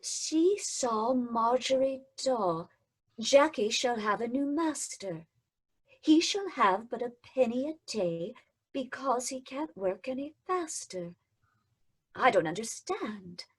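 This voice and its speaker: Hero's companion: high, breathy, lively 1940s radio delivery. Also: female voice, takes it slowly, heavily acted. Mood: uneasy. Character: brave young woman sidekick, the hero's companion. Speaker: radio drama actor. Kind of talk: old-time radio drama reading. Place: home, over a video call.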